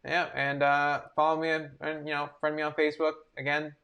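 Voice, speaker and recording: A man's voice: a little high, monotone, brisk, sharp tone. Man, guest comedian, self-mocking, joking banter. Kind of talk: casual podcast banter.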